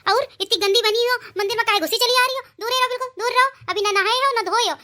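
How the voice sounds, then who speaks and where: A performer voicing a scolding, sharp-tongued village sister-in-law in a comic cartoon, with a rural Uttar Pradesh accent. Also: extremely high pitch, fast. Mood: exasperated scolding.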